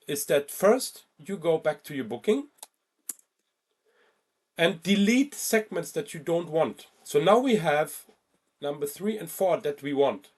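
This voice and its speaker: A man giving an informal step-by-step software tutorial as an instructor, halting at times and stressing key points by repeating them. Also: on the high side. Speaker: travel booking software instructor.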